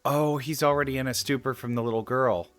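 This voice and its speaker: A man's voice: mid-range voice, steady tone, brisk, a bit bright. A man giving casual, rambling let's play commentary in an informal way.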